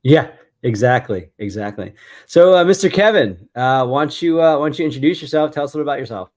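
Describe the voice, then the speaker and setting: A man's voice: fairly high pitch, animated, fast, darkish tone. A man hosting an interview show, speaking with enthusiasm and often repeating himself. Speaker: host.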